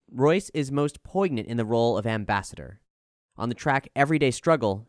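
A man narrates a scripted music review in a medium-pitched voice. His delivery is measured and articulate, with formal phrasing. The recording is dry and close, with no room sound. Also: dry recording. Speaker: album reviewer.

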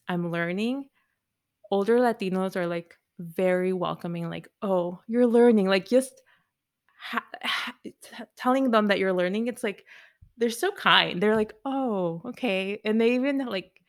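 A woman's voice: medium pitch, a little slow. A woman whose first language was Spanish, talking casually and rambling with lots of "like"s. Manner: rambling, many "like"s. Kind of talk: casual group chat.

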